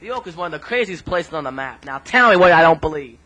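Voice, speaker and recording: A man's voice: fairly high pitch, expressive, quick pace, crowd noise, close to an everyday voice. A man playing a street interviewer, chatty and bemused, often stammering as he fumbles his questions.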